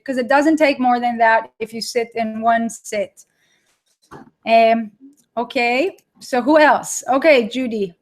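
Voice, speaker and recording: A middle-aged woman with an Israeli accent, hosting a live webinar and chatting casually with viewers, repeating words for emphasis. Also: sits high. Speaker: online course creator hosting a live webinar.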